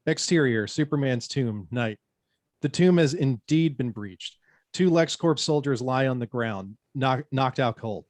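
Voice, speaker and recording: A man's voice: mid-range voice, expressive, measured pace, dry recording. Guy reading out the scene directions at a table read, medium voice, dry recording. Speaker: narrator reading stage directions. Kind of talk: scripted narration at a table read.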